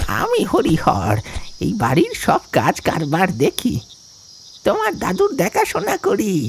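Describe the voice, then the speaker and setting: Creaky, high-voiced aging male caretaker. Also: a little hiss or hum, full character voice. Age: middle-aged. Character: affectionate aging male caretaker of a village mansion.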